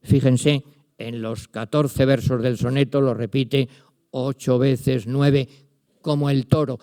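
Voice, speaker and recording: A man's voice: mid-range voice, steady tone, slow, dark tone. An older man with a Spanish accent, a literature professor giving a lecture to an audience, speaking directly to his listeners and reciting verse with emphasis. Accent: Spanish from Spain. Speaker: literature professor presenting a book.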